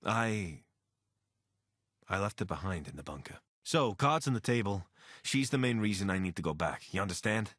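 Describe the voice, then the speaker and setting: Wry, anxious military deserter and pilot for a game companion role; low voice, very mobile intonation. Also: male voice, lively intonation, moderate pace, fairly bright tone, no room sound, studio quality. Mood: earnest, regretful. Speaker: voice actor for a companion character. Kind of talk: video game character dialogue.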